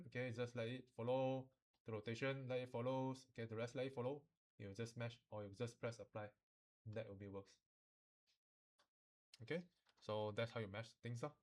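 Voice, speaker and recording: A man teaching software informally, code-switching and often checking that students follow. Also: mid-range voice. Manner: instructional, often checks understanding, uses particles like lah. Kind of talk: informal software tutorial mixing English and Mandarin.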